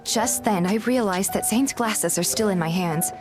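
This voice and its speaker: A woman playing a curious, polite young game heroine, narrating in the first person and speaking her dialogue in an earnest, gentle manner. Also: medium pitch, normal speed, over music, lightly acted. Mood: earnest, reflective.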